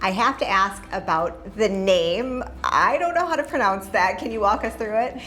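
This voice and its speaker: A woman's voice: mid-range voice, lively intonation, moderate pace, fairly bright tone. Woman interviewer with music behind. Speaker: local TV show interviewer. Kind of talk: friendly broadcast interview questions.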